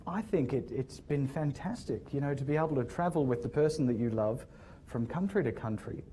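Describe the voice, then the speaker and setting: A man's voice: medium pitch, lively intonation, fairly quick, dark tone, slight background noise. A man, a hotel general manager, talking casually to a room of students and answering questions, often repeating words as he starts sentences. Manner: hesitant, repeats words, friendly storytelling. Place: lecture room with audience.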